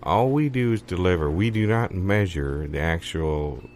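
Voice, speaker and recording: A man playing a weary, stern delivery boss in improvised audio comedy, dismissive and deliberate, with music behind him. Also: deep voice, expressive, a little mellow, a little hiss or hum. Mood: exasperated, stern. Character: weary, stern male delivery boss, exasperated with his crew.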